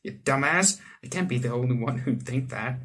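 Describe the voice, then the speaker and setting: Animated young man reading a game aloud. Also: medium pitch, normal speed, husky. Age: young adult. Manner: rambling, joking asides. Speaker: let's player. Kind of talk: reading a visual novel aloud with casual commentary. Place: small room indoors.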